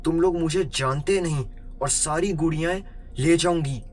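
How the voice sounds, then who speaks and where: A man voicing a frightened, angry girl in a horror story, shouting indignantly at those around her. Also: fairly high pitch, moderate pace, pitched above the performer's own voice, natural voice. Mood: furious. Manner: screaming, indignant. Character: frightened, angry girl customer threatening others. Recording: music behind the voice.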